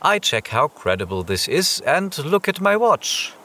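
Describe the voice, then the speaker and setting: A man playing an introspective wanderer who narrates a surreal journey in a radio play, speaking deliberately. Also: fairly high pitch, big pitch swings, sharp tone, exaggerated voice. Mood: contemplative. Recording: music under the voice. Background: music.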